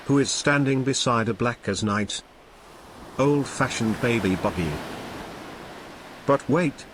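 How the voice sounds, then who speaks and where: A man narrating as announcer, introducing an audio play in a measured, theatrical old-time radio style. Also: mid-range voice, fairly bright tone, a little hiss or hum. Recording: music behind the voice.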